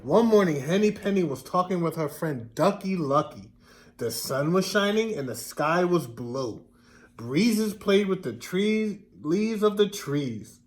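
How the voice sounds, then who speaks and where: A man's voice: sits high, expressive, a little slow. A man reading a children's story aloud expressively, explaining words and putting questions to young listeners. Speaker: classroom storybook reader.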